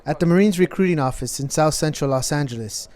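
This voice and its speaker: A man reads scripted documentary narration in an even, formal way. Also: fairly high pitch, quick pace.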